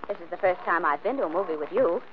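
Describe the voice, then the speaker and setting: A woman's voice: fairly low pitch, animated, fast talker, lightly acted. An actress playing a polite young woman in a 1940s radio drama. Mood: pleasant. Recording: tinny old radio recording, faint hiss.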